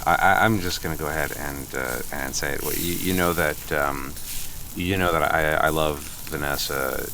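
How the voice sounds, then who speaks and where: Very deep-voiced, flustered, stammering boyfriend. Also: male voice, normal speed, sharp tone, heavily acted. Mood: nervous, earnest. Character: nervous, earnest, apologetic boyfriend seeking a father's blessing. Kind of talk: improvised comedy radio play, naturalistic dialogue. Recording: faint sizzling barbecue noise behind.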